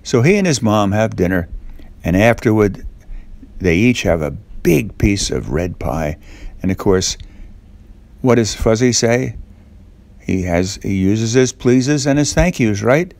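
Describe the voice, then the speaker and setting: A man telling a children's story off the cuff, in a halting way with little questions to the listener. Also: lowish voice, animated, darkish tone.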